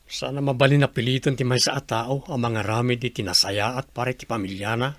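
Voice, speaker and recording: A man's voice: medium pitch, steady tone, a little fast, no room sound, lightly acted. Man acting long-suffering husband, resigned, ilocano radio play, mid voice, dry sound.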